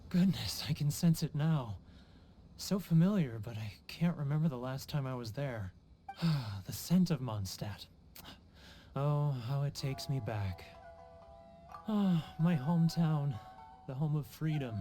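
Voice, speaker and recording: A man's voice: a little high, animated, a little slow, mellow, faint music behind. An actor playing a homesick, guilt-ridden male merchant in a video game, theatrical and sighing, self-pitying in manner. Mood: wistful, nostalgic. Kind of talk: video game character acting.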